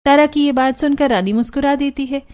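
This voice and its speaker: High-voiced woman narrating a cartoon story. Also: a little fast, darkish tone.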